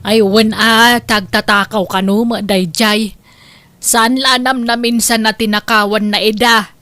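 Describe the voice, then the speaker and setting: A woman's voice: fairly high pitch, steady tone, a little slow, pushed and bright, no room sound. A woman playing a sensible, outspoken neighbour who argues back in a comedic drama. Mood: matter-of-fact. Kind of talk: comedic radio-style drama acting.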